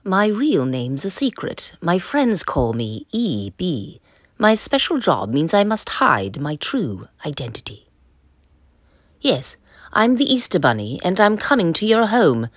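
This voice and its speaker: Female storyteller for kids' picture book: lively melodic range, slightly breathy texture, dry close sound, sing-song rhyme delivery. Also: fairly low pitch, unhurried, no room sound. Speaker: storyteller reading a children's picture book aloud. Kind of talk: expressive read-aloud narration.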